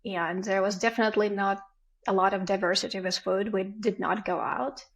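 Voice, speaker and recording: Gravelly Ukrainian-accented woman chatting. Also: medium pitch, steady tone, normal speed. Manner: storytelling, acts out family dialogue. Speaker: guest. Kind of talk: casual podcast chat.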